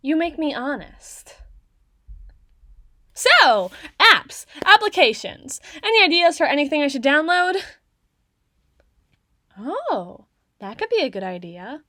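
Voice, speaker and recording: A woman playing an awkward, bookish tsundere young woman in an ASMR roleplay, speaking haltingly and wordily to the listener. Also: high-pitched, sharp tone, no room sound, clean studio sound, full character voice. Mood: shy, sincere, then chatty, self-conscious. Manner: stammering, halting, wordy and bookish in phrasing.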